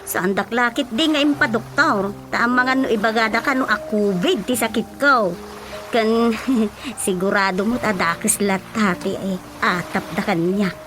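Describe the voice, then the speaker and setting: A woman's voice: mid-range voice, unhurried, music in the background, close to an everyday voice. An actress playing a plain-spoken, complaining village woman in a radio comedy drama, with an Ilocano Filipino accent. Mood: chatty, complaining.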